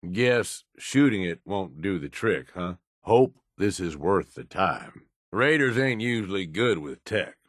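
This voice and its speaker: A deep, gruff American raider lieutenant for a video game. He is blunt and cynical yet loyal, talking in rough, folksy slang. His delivery moves widely in pitch, and the recording is dry and close. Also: lively intonation, measured pace, few pauses, dry recording. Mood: grudging. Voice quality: low, gruff. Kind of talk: video game companion voice lines.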